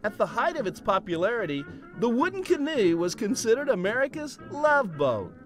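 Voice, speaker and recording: A man narrates a scripted voice-over in a high, very animated voice. Music plays clearly under the narration. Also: normal speed. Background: background music.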